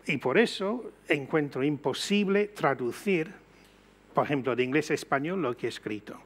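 An older Englishman with a fairly high voice, speaking in an English accent. He explains in a measured way on a panel on an auditorium stage before an audience. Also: animated, husky. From Britain. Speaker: panel guest. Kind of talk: panel discussion.

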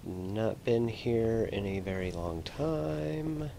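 A man with a low, gravelly voice commentates casually on a video game, often muttering to himself. His pitch moves around a lot, and there is clear noise behind him. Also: lively intonation, slow.

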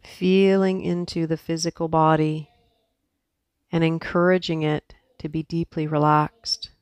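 Slow, even-toned woman guiding meditation. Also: sits low, no room sound. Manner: soft, soothing, unhurried instruction with long pauses. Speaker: yoga and meditation teacher. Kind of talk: guided meditation.

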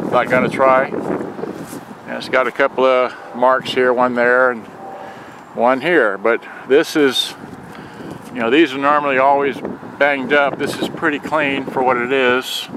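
A man's voice: mid-range voice, fairly even intonation, unhurried. A man rambling casually and muttering to himself as he shows off an item he is selling, speaking unscripted outdoors. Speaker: seller demonstrating a repaired boombox. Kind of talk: casual unscripted product demo. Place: outdoors in a grassy open yard. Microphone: handheld camera, speaker behind it. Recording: loud wind and outdoor noise.

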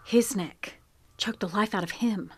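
A woman's voice: mid-range voice, a little slow, over music, lightly acted. A woman playing an earnest farm wife. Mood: hushed. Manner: earnest, often dropping to a hushed whisper. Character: plainspoken, remorseful rural farm wife. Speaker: Mrs. Hale, farm wife. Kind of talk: live radio play acting. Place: home closet.

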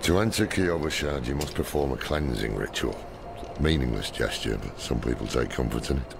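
An actor playing a gruff, weary, cynical older man in a dramatic video game. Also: background noise. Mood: impatient. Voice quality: deep, gravelly. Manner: slow, grave, world-weary. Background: game music.

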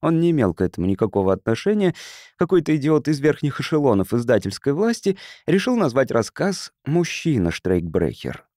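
Man narrating an audiobook, lively intonation. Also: mid-range voice, brisk, no echo, clean studio sound. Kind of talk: scripted literary narration, reading a science fiction story.

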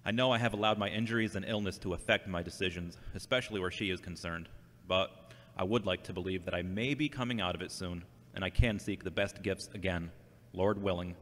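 A man's voice: low voice, a little fast, a bit bright. A man acting an earnest, troubled and devout man in an amateur audio drama. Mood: pleading. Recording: echoey hall with long reverb.

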